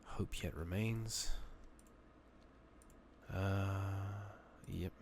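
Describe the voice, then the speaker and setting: A soft-spoken man giving hushed ASMR commentary on a game playthrough, murmuring and musing aloud in an unhurried way. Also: deep voice, steady tone, gravelly, a little hiss or hum. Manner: hushed, murmured, sometimes slipping into whisper. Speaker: gaming channel host.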